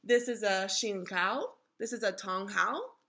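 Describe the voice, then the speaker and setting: A patient storybook mother, played by a woman reading aloud. She has a bright voice and explains things in an assured, reassuring way. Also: mid-range voice, lively intonation, unhurried. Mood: instructive. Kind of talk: children's storybook read-aloud.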